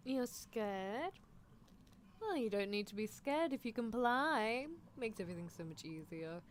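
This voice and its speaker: A woman's voice: a little high, lively intonation, measured pace. Woman playing a teasing British mad scientist girl, noisy background. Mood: coaxing, teasing. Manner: teasing, softly coaxing, clinical asides. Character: playful, bossy British female mad scientist horse-girl. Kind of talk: ASMR roleplay livestream in character.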